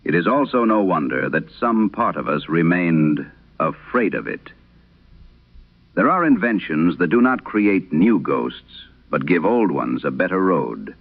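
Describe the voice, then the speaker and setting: A man with a very low voice narrates eerie tales as a late-night horror host. His delivery is solemn, measured and literary. The sound is thin and narrow like an old radio broadcast, with a very dry, close feel. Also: big pitch swings, no echo. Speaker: horror audio drama host reading letters. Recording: band-limited, dry vintage radio sound.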